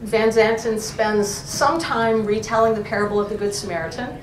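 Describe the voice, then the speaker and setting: A woman professor presenting a journal issue to a library audience, thoughtful, pausing with frequent ums as she explains terms. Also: a little high, fairly even intonation. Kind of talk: academic talk to a library audience. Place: library event room. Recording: echoey room, far mic, noisy.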